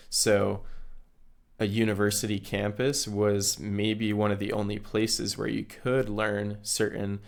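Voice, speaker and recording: A hoarse man hosting a solo educational podcast, his voice low and gravelly with a flat, even delivery. He explains earnestly, sometimes restarting a phrase as he goes. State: hoarse, recovering from illness. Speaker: podcast host.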